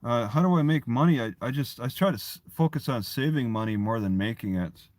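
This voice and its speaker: Male streamer, mid-pitched, casual and halting, repeats himself as he rambles, very dry sound. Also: animated, a little mellow, dry recording. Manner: halting, repeats and restarts phrases. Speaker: live streamer. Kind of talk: casual rambling livestream chat.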